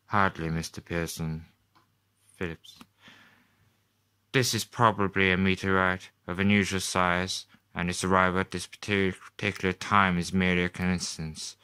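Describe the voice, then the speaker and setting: A man playing a sceptical astronomy professor in a radio play, speaking in a slow, deliberate and dismissive way. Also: low voice, little pitch movement, bright, forceful tone, clean tone, dry recording, pitched below the performer's own voice. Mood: calm, dismissive. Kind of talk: 1930s radio drama acting.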